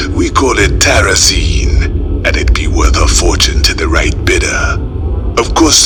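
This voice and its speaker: Deep, swooping sly mercenary. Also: male voice, exaggerated voice. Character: sly mercenary treasure hunter, smooth and theatrical. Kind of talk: video game cutscene acting. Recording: music behind the dialogue.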